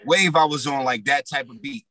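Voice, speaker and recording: A raspy young man telling his story in loose, slang-heavy casual talk as a rapper guest. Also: medium pitch, normal speed, bright, forceful tone, faint music behind.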